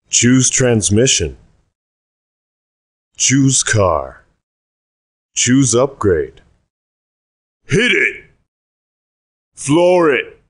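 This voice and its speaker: A man's voice: mid-range voice, a bit bright, heavily acted. A man voicing a hyped arcade racing game announcer in short punchy calls. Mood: excited.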